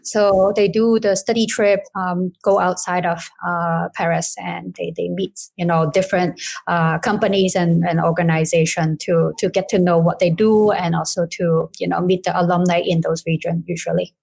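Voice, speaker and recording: Low-voiced woman from Hong Kong. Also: little pitch movement, normal speed, vocal fry, a little mellow. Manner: hesitant, many "um" and "you know". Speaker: school representative. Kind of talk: casual webinar answers.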